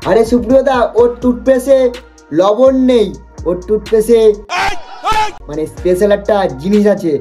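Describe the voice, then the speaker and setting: A person hosting a comic roast in a mocking, exaggerated, excitable way. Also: medium pitch, moderate pace, long pauses, some chatter behind. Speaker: prank video host and roaster. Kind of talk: teasing comic roast commentary. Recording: music behind the voice. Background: music.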